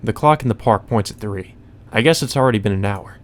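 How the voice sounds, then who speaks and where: A man's voice: on the low side, a little fast. A man reading visual novel narration and dialogue aloud as a let's player.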